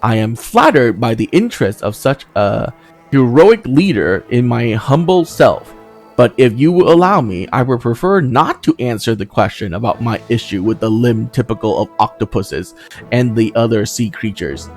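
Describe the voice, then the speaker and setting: Guy doing a proper noble knight from a fantasy rpg, earnest and courtly, pitch moves around a lot, music behind. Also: very animated, normal speed, a little mellow. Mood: pained dignity. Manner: ornate, courteous, solemn phrasing. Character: formal, noble, earnest crusader knight, wounded dignity.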